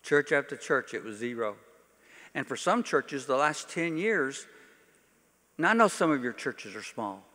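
A man's voice: mid-range voice, big pitch swings, a little slow, pushed and bright. An older man, a pastor, giving an informal church teaching talk to an audience with folksy, dry-humoured asides. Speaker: pastor leading a workshop. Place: large hall, speaking to a conference audience. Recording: reverberant hall, long echo.

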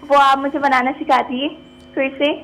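High-pitched woman caller on the line. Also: flat delivery, moderate pace, fairly bright tone, soft background music, poor audio. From Pakistan. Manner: polite, eager fan asking for a recipe. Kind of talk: phone-in call. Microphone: phone line into studio.

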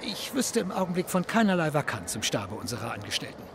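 Snooty, exasperated hotel manager, rather high voice, curt delivery, radio drama, clear background bustle. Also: male voice, sing-song, fast, a bit bright, a little hiss or hum. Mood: disdainful. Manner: curt, pompous, scolding, drops French phrases. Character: haughty, exasperated male hotel reception manager. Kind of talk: radio play acting.

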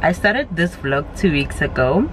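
Low, slow woman vlogging. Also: background noise. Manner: chatty, relaxed, frequent ums. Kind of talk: casual vlog chat. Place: home kitchen, indoors.

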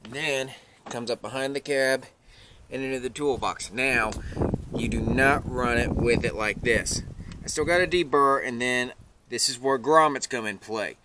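A man's voice: mid-range voice, a little slow. A middle-aged man giving a casual how-to narration into a handheld camera outdoors. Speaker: vlogger showing his truck project. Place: outdoors by a vehicle in a residential yard. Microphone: handheld camera mic at arm's length. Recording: clearly noisy handheld outdoor recording, clicks.

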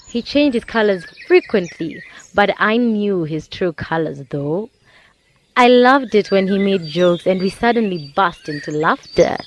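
A woman's voice: mid-range voice, slight background noise, natural voice. A woman playing a weary, sorrowful mother in a radio drama, reflecting on her past. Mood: bitter nostalgia.

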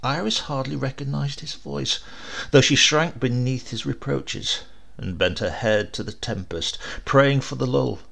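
A man narrating a horror story in a theatrical, expressive way, with deliberate drawn-out pauses. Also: medium pitch, creaky. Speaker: audiobook narrator.

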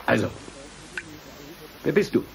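An actor playing a cynical, gloating murderer, a ruthless scientist, in a mocking, taunting manner in a radio play. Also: male voice, takes it slowly, a little hiss or hum, close to an everyday voice. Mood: mocking, dismissive. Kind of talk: radio drama acting.

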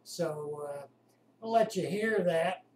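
An older man chatting casually and haltingly to camera about his hobby, picked up by a webcam in a small home room. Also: a little high, darkish tone. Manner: halting, unhurried. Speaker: amateur radio operator, vlogger. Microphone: webcam at a distance. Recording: roomy distant webcam sound with noise.

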